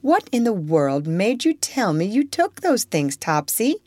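A woman's voice: mid-range voice, very animated, a little slow, darkish tone, no echo, voice pushed up. A woman voicing a strict, prim middle-aged aunt who scolds and exclaims as she reads a storybook aloud. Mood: puzzled. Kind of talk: storybook reading.

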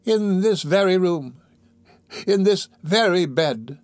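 A man's voice: sits high, expressive, slow pace, a little mellow, dry recording, pitched above the performer's own voice. A man voicing a dying old pauper woman in a storybook, urgent and hollow, drawing out the words. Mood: laboured, confessing.

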